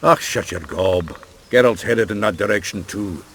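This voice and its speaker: An actor playing a blunt, gruff male dwarf warrior in a video game, brash and colloquial. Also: lowish voice, moderate pace, a bit bright. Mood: scolding.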